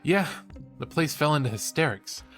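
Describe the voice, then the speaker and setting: An actor playing a cheeky, enthusiastic college student who hosts a true-crime podcast in an audio drama. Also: male voice, a little high, animated, normal speed, fairly bright tone, soft background music. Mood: intrigued storytelling. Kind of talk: conversational audio drama acting as podcast host.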